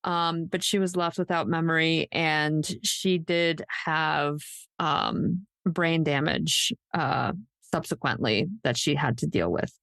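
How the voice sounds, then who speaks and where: A low-voiced woman tells a true crime story as a podcast co-host. Her delivery is conversational and slightly halting, and the sound is dry with very little room on it. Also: vocal fry, a bit bright, no room sound.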